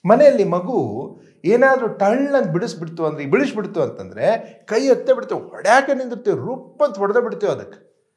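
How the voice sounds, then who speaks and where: A man's voice: high-pitched, very animated, brisk, a little mellow. A man giving an emphatic, conversational motivational talk, mixing in English phrases and often checking in with listeners. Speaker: motivational trainer.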